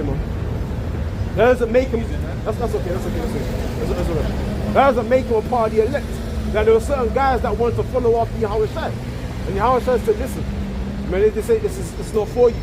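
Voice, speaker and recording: Man, street preacher, high voice, repetitive, outdoor recording, heavy traffic noise. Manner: repetitive, addresses listeners as "man", asks "you see what I'm saying".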